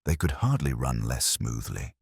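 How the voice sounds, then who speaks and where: A man's voice: extremely low pitch, animated, moderate pace, gravelly, darkish tone, slightly rough, dry recording, studio quality, pitched below the performer's own voice, heavily acted. A man voicing a blunt, impatient committee woman in a mystery story, grumbling in a curt, sardonic way.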